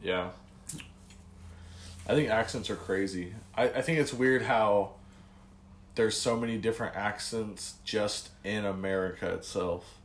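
A man's voice: on the low side, a little slow, long pauses. A young man chatting casually with friends, teasing and swearing. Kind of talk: casual chat among friends. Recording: echoey room with faint hiss.

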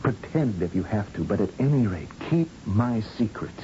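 An actor playing a secretive, commanding, calculating scientist-spy in an old-time radio drama. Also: male voice, on the low side, lively intonation, normal speed, warm tone, lightly acted. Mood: reassuring, sly. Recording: muffled old radio drama, faint hiss.

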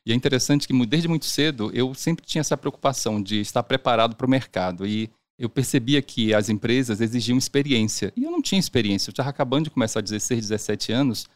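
A man's voice: medium pitch, animated, quick pace, hardly any pauses, no echo. A man from Brazil's northeast, a podcast guest who tells his story casually, often tagging phrases with "né?" and laughing. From Brazil, born in Sergipe, raised in Salvador. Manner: storytelling, frequent "né?" tags and laughs. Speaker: guest, pension specialist.

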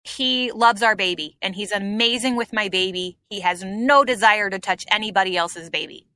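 A woman's voice: mid-range voice, moderate pace, pushed and bright, no echo. A woman comedian in her thirties, chatting casually about her influences and motherhood, rambling with fillers and restarts. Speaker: comedian and improv troupe member. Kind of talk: casual podcast chat.

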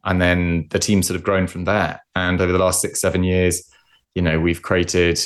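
Low-voiced man with an English accent. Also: moderate pace. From Scotland. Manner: relaxed, self-deprecating, chatty with many fillers. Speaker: podcast guest, football presenter. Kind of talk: casual interview chat.

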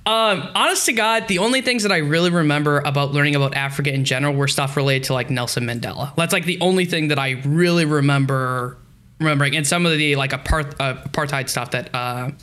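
A man in his twenties from the United States hosts a casual podcast chat. His voice is bright and a little high, and he asks long, curious questions that wander before they land. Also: quick pace. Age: 20s. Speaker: podcast host.

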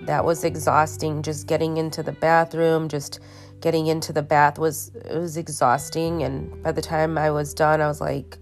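A low-voiced woman hosts a solo podcast while sick, sounding tired and congested. She talks slowly and haltingly, restarting sentences and filling gaps with ums. Faint guitar music plays underneath. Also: level tone. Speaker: podcast host. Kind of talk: casual solo podcast monologue. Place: her bedroom at home.

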